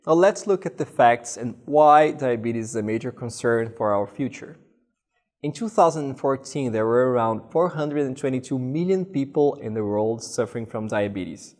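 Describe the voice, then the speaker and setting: A man in his thirties from Brazil, a master's student giving a steady, scripted presentation full of statistics. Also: mid-range voice. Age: 30s.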